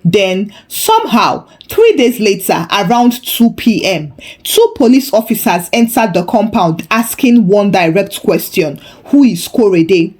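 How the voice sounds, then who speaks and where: Female folktale narrator, highly animated, theatrical and playful exaggeration. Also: measured pace, few pauses. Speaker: storyteller. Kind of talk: dramatic scripted folktale narration.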